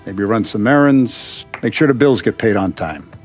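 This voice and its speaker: An actor playing a paternal, authoritative Italian-American mafia boss in a 1930s crime game, speaking deliberately. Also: male voice, on the low side, expressive. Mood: stern, instructive. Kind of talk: cinematic video game acting. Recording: music behind the voice.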